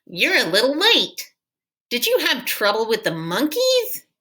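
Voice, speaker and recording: Woman voicing a brisk, businesslike storybook rabbit. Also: fairly high pitch, huge pitch swings, pushed and bright, pitched above the performer's own voice, heavily acted.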